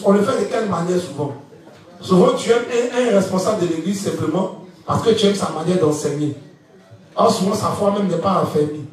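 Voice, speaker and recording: A man in his fifties from Ivory Coast, giving an evening church teaching and often asking whether the listeners follow him. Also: high voice. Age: 50s. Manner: animated, laughing, asks the audience if they follow. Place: church hall. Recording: echoey room, mic far off.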